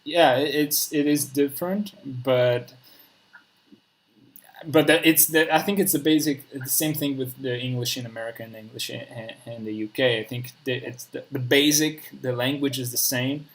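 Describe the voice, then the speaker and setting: A man's voice: mid-range voice, moderate pace. Man, Spanish accent, non-native English, mid-range voice, casual host, searching for words. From Spain. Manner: searching for words, restarts often. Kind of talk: casual conversation.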